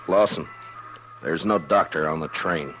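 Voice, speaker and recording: A man's voice: low voice, measured pace, fairly bright tone, soft background music. An actor playing a stern, weary frontier lawman, terse and authoritative, in a 1950s radio western. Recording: noisy vintage 1950s radio recording.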